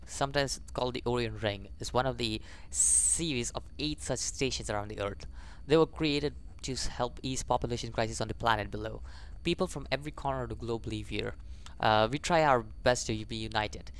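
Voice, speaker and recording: Young man reading game text haltingly. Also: medium pitch, clean tone. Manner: halting reading, stumbles over words. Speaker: gaming YouTuber. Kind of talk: casual let's play, reading game text aloud with commentary.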